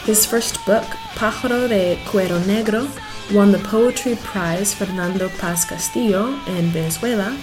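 A woman host reading a scripted introduction in a measured way. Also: medium pitch, low-quality recording. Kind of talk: scripted narration. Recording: clear music bed underneath.

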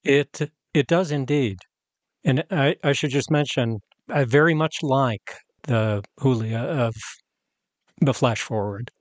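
A man interviewing an author, asking long, measured questions in a formal way with small stumbles. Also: mid-range voice, no echo.

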